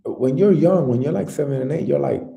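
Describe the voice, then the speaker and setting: A man's voice: medium pitch, animated, fairly quick, creaky, warm tone, roomy sound. A man giving an earnest, encouraging motivational talk as a life coach, speaking directly to his listeners.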